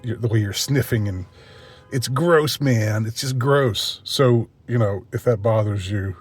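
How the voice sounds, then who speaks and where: A middle-aged man with a congested, stuffy voice, rambling through a halting solo monologue full of fillers and restarts, with a wry, self-deprecating manner. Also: mid-range voice, faint music behind. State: nasally congested. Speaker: vlogger.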